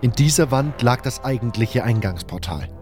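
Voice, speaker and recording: A man with a mid-pitched voice reads scripted narration for a fantasy audio drama. He tells it in the first person as a storyteller, with soft music underneath. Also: animated, moderate pace. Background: faint music.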